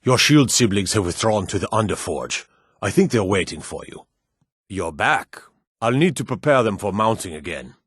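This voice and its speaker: A proud old smith from a fantasy video game. His voice sits fairly low and has a rough, husky grain. He speaks plainly and with weight, gruff but measured. The recording is dry, with no room sound. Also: big pitch swings, fairly bright tone, no room sound, full character voice. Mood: earnest, steady. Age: older. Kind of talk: fantasy game character dialogue.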